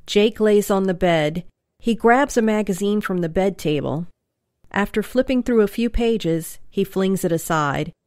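A woman's voice: mid-range voice, measured pace, a little mellow, no room sound, clean studio sound. A woman narrating and announcing a radio play in a scripted style.

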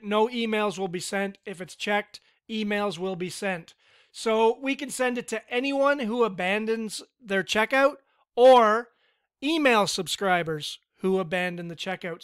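A man giving a relaxed, step-by-step screen-recorded software tutorial with casual fillers. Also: high voice, smooth. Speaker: tutorial instructor.

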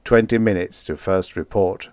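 Man as low, precise spaceship crewman. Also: normal speed, a little mellow, dry recording, natural voice. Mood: composed. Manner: intoning, precise. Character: measured, formal male spaceship crewman reporting data.

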